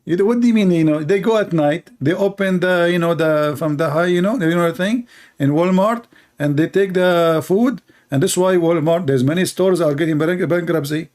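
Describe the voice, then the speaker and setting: A man with a somewhat high voice rants sarcastically in broken English, full of stumbles and fillers. He mocks as he hosts an online debate. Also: normal speed, darkish tone. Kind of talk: mocking satirical rant.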